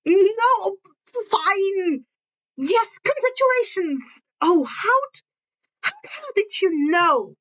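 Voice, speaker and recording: A woman's voice: very high voice, animated, takes it slowly, a bit bright, muffled recording, pitched above the performer's own voice, heavily acted. A woman voicing an earnest, snarky and defensive teenage boy hero student. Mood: flustered, snarky.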